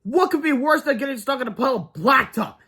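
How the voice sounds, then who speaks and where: A man's voice: extremely high pitch, expressive, quick pace, sharp tone, breathy edge, pitched above the performer's own voice, exaggerated voice. A man doing an eager bossy young boy. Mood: urgent.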